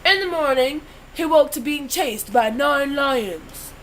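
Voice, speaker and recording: Teen girl narrator, playful, high-pitched. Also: expressive, slow pace, very noisy. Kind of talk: school project narration.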